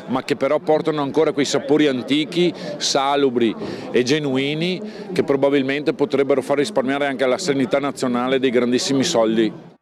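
A middle-aged man speaking for a farmers' group, explaining in an earnest, steady way at an outdoor expo. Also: mid-range voice, moderate pace, crowd noise. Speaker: farmers' association official. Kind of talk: outdoor interview.

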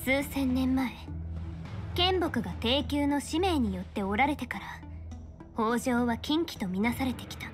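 An actress playing a stern young fortune-teller official in a game, speaking in a formal, measured, authoritative way. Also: female voice, sits high, over music. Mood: grave, disdainful. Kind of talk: scripted game character dialogue in Japanese dub.

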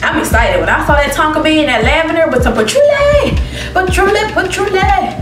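A woman's voice: high voice, moderate pace, recorded from a distance. A young woman casually reviewing perfumes on camera, reading out descriptions and reacting expressively. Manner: expressive, reads descriptions aloud, reacts with laughs. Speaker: beauty vlogger. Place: living room with plants, indoors. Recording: roomy echo, mic set back, music behind.

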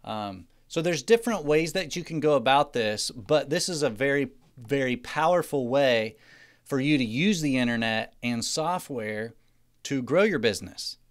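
A man narrates an instructional screencast in a smooth, clear voice. His pitch sits in the middle range for a man. He explains things plainly, like a tutorial presenter walking through a lesson. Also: animated, normal speed. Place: indoors, voice-over to screen recording.

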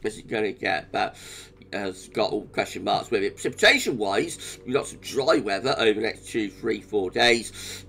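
Croaky british guy doing a chatty weather forecast, laughs a bit. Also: on the low side, a bit bright. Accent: British English. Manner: chatty, laughs often, restarts. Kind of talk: casual forecast talk over charts.

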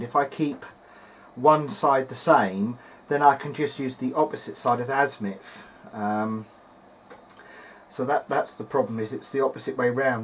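Man, middle-aged, mid-pitched. Hobbyist repair diary, meandering and unscripted, matter-of-fact. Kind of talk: video diary, thinking aloud while working. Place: indoor workbench.

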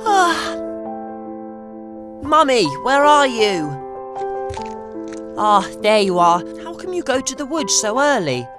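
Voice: sits high, very animated, takes it slowly, long pauses, music in the background, full character voice. A performer voicing an eager, indignant rabbit child in a cartoon, with a childlike manner.

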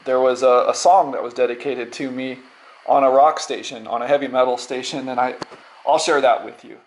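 A middle-aged man giving a casual unscripted show-and-tell, halting and rambling, often repeating words as he goes. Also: medium pitch, steady tone, low-quality recording. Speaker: vlogger.

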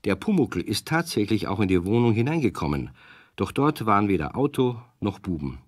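Brief: male narrator for a kids' radio story, lowish voice, lively intonation, dry close studio sound. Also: a little fast, no room sound.

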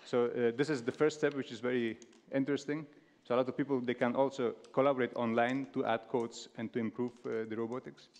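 A man from Afghanistan gives a talk on a large stage, pausing often with fillers and restarting his sentences. His voice has a gravelly, creaky texture at mid pitch. The sound carries a long reverb from the big hall. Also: steady tone, a little mellow. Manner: halting, many fillers and restarts. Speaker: speaker.

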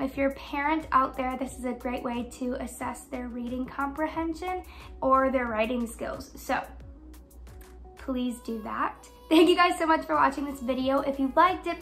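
A young woman with a high voice hosts a read-aloud for kids. She reads picture-book text expressively and talks casually and directly to her young audience, recorded at home in a living room. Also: moderate pace, a bit bright, faint music behind. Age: young adult. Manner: addresses young listeners with questions. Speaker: children's read-aloud host, education student.

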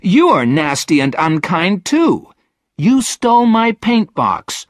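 A man's voice: lively intonation, unhurried, husky, no echo, clean studio sound. A man voicing a kind but outspoken young girl in a storybook, earnest and emphatic. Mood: angry. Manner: earnest, emphatic, raised pitch.

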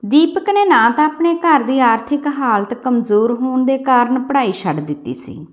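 A woman's voice: high-pitched, lively intonation, normal speed. A woman reading a scripted story as an audiobook-style narrator.

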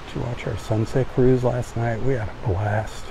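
Gravelly relaxed man on a beach walk. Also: mid-range voice, normal speed, background noise. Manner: relaxed, folksy, cheerful. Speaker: live-stream beach walk host. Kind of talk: casual live narration while walking. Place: outdoors on a beach. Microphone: phone camera held while filming.

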